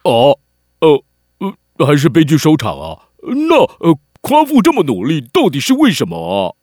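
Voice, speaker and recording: A man with a Taiwanese accent playing an excitable, skeptical young boy in a children's radio drama, questioning everything with exaggerated hesitation. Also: fairly high pitch, moderate pace, dry recording, studio quality. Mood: puzzled, skeptical.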